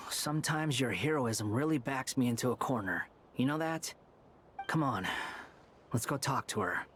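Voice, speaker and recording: Arrogant young scholar in reverberant game scene. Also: male voice, mid-range voice, animated, a little slow, pauses often, fairly bright tone, slight background noise. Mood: grudging. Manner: condescending, dry, exasperated. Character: arrogant, sardonic young male puppet scholar. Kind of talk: video game voice acting.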